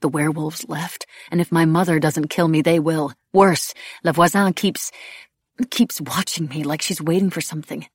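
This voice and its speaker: A woman voicing an irreverent, desperate young woman in an audiobook, pleading emotionally and haltingly. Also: low voice, fairly even intonation, breathy edge, no room sound, studio quality. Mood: troubled, searching. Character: young woman, bitter, desperate, irreverent, praying to absent god. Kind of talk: audiobook character dialogue.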